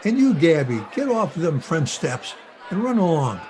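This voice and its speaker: A man's voice: fairly high pitch, big pitch swings, normal speed, a little mellow, some chatter behind. A man playing a brusque officer barking orders at onlookers in old-time radio comedy. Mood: bossy. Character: brusque, bossy crowd-control officer.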